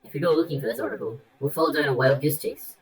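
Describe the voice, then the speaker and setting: A woman's voice: low voice, fast, crowd noise, close to an everyday voice. A woman playing a dry, matter-of-fact superhero teammate in a radio play, heard at a distance with music underneath. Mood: dry, reasoning.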